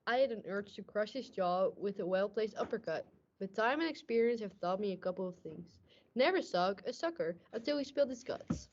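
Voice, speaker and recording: A woman's voice: medium pitch, lively intonation, fairly quick, natural voice. A woman playing a weary, hard-boiled male police detective, narrating in tough, slangy radio noir style. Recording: muffled, noisy amateur stage recording.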